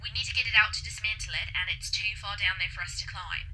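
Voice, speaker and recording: A woman playing a tense, brisk astronaut in a science fiction audio drama, clipped and matter-of-fact. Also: medium pitch, bright, forceful tone, a little hiss or hum, poor audio. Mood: frustrated. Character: tense, brisk female astronaut working on drilling equipment.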